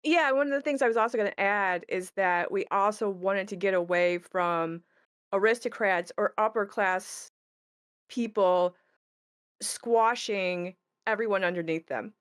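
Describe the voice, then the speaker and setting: A woman with a clear, resonant voice at a middling pitch. She chats casually about creative work in a wry, good-humoured way. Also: a little slow. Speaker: game creator discussing her project. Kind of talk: casual discussion.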